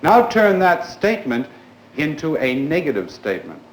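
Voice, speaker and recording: A man teaching a class in a formal, polite, deliberate way, putting questions to his pupils. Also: on the high side, big pitch swings. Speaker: schoolteacher leading a grammar class. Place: indoors in a school classroom. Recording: echoey classroom recording with clear background noise.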